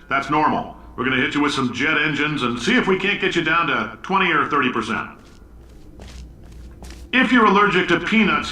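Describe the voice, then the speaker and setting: A man's voice: on the high side, pushed and bright. An actor playing a brash, cocky, middle-aged American science company boss in a video game, delivering blunt announcements like a salesman. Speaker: company founder and CEO. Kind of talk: recorded facility announcements, salesman-like speech. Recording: echoey PA announcement, distant, faint noise.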